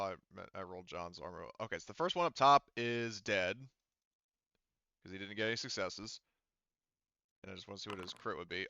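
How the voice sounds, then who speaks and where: A man's voice: fairly low pitch, a bit bright, clean tone, no room sound, highs cut off. A man running a tabletop roleplaying game, narrating combat and dice rolls in a conversational, profane way. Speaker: game master.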